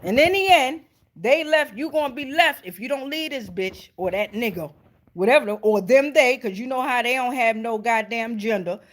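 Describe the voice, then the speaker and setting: A woman's voice: on the high side, lively intonation, normal speed. An American woman giving an informal tarot reading as host, blunt, foul-mouthed and emphatic, often checking in with her listeners. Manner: checks in with listeners with repeated tag questions.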